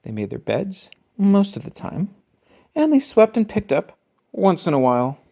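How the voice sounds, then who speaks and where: Very animated children's storybook reader. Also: medium pitch, vocal fry. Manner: expressive, emphatic reading with drawn-out key words. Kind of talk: scripted children's storybook narration.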